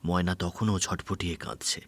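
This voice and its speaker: A man's voice: low-pitched, fairly even intonation, moderate pace, a bit bright. A man narrating a detective audio story in a dramatic, scripted storytelling style. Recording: music behind the voice.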